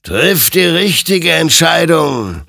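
Man acting a slow, menacing spectral rider. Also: fairly low pitch, big pitch swings, gravelly, fairly bright tone, slightly rough, dry recording, studio quality, pitched above the performer's own voice, full character voice. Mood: commanding, knowing. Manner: slow, solemn, accusing. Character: all-knowing spectral rider of smoke and burning souls, menacing. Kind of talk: dramatic audiobook story.

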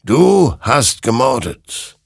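A man's voice: medium pitch, huge pitch swings, extremely slow, vocal fry, a bit bright, slightly rough, no room sound, clean studio sound, voice pushed up, heavily acted. A man voicing an all-knowing spirit rider of smoke and flame, solemn and ominous, pronouncing doom in an audiobook story.

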